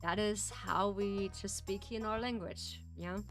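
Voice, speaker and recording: A woman's voice: mid-range voice, fairly even intonation, a little slow, a bit bright, soft background music. A woman with a German accent, chatting casually as she streams a farming game. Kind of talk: casual gameplay chat.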